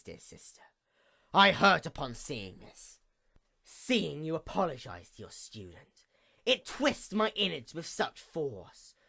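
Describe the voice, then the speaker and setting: A woman voicing a bitter, envious dark goddess pony in a grandiose, brooding dramatic monologue. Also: sits low, vocal fry, full character voice. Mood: hurt, reproachful. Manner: grandiose, brooding, theatrical, formal.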